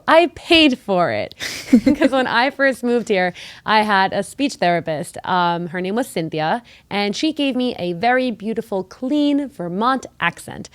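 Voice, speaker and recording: A woman's voice: medium pitch, no echo. Young woman hosting a podcast, dry sound. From Mexico. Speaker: podcast co-host. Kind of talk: casual chat.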